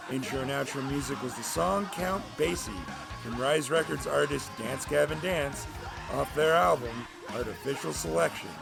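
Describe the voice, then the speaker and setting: Slow, gravelly male storyteller. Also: mid-range voice. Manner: measured storytelling delivery. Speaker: writer and narrator. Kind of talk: scripted narration.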